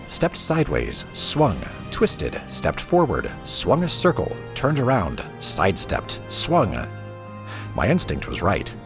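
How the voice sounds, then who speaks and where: A man reading a fantasy book aloud as expressive storybook narration over music. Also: fairly low pitch. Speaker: let's play host reading in-game books aloud.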